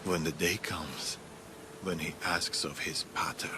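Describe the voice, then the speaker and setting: An actor playing a grave, protective older Persian warrior in a video game, solemn and measured. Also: male voice, deep voice, steady tone, background noise. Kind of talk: dramatic video game acting.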